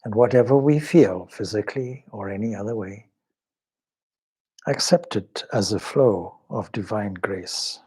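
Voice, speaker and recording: A man leading a guided meditation as a swami, speaking in a measured, solemn, devotional way with pauses between short phrases. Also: on the low side, mellow, husky.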